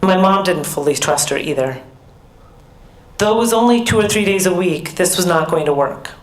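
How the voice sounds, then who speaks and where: A middle-aged American woman giving an earnest, measured personal talk as a conference speaker, with small restarts. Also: fairly low pitch, expressive. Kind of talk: personal talk at a research summit. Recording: echoey hall.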